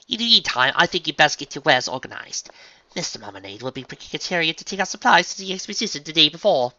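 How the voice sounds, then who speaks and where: A narrator voicing a refined, fussy young female unicorn dressmaker who speaks in a genteel, dramatic, gently chiding way. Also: medium pitch, sing-song, quick pace, dry recording, dull audio, voice pushed up. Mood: reassuring, brisk. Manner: genteel, dramatic, proper speech.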